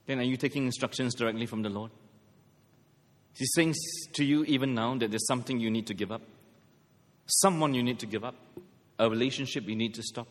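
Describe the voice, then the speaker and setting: A man's voice: mid-range voice, brisk, pauses often. A middle-aged man from Malaysia preaching a sermon, mixing scripture with humorous personal stories. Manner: storytelling with self-deprecating humor. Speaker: pastor. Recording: long hall reverb.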